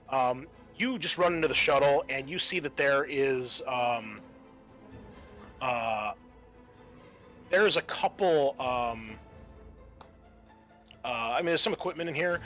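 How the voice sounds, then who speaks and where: Male game master, bright mid-pitched voice, off-the-cuff horror narration with hesitations, light music underneath. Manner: halting, casual, profane.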